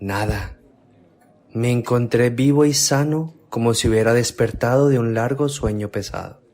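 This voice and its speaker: A man's voice: medium pitch, moderate pace, darkish tone, natural voice. An actor playing an earnest young man raised from the dead in a devotional radio drama, speaking emphatically.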